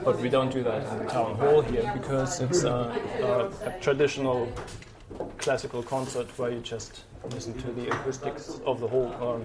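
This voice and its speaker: A man, a sound engineer, explains his work informally and haltingly, thinking aloud as he goes. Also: mid-range voice, steady tone, over a noisy crowd. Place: large town hall concert venue. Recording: loud orchestra practice behind the voice. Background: orchestra musicians practising.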